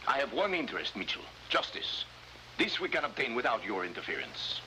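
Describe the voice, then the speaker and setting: An actor playing a proud South police chief, formal and quietly menacing in a 1950s crime drama. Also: male voice, mid-range voice, sing-song, fairly quick, natural voice. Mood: cold, warning. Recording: noisy, hissy old 1950s drama soundtrack.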